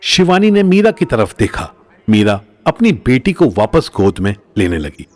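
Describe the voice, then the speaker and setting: A man's voice: medium pitch, sing-song, measured pace. A man narrating a scripted audio drama as a storyteller, in a dramatic style.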